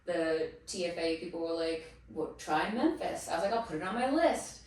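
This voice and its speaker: Woman guest, 30s, lower-pitched, lively storyteller; echoey room, mic at a distance. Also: moderate pace. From Massachusetts. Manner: chatty storyteller, animated quoting of others. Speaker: guest, teacher. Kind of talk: casual interview chat.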